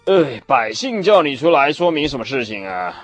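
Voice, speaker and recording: A man playing a haughty, dismissive young king in a Bible radio drama, pompous and drawn-out. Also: medium pitch, big pitch swings, faint music behind.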